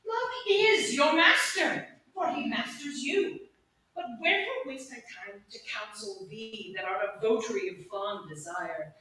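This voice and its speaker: Woman as a teasing young gentleman, Shakespearean stage banter, slow and bright, distant noisy recording in an echoey theater. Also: fairly high pitch, animated. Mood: playful, mocking. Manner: declamatory, bantering stage delivery. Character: Valentine, teasing young gentleman bound for travel. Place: indoor playhouse stage, live audience.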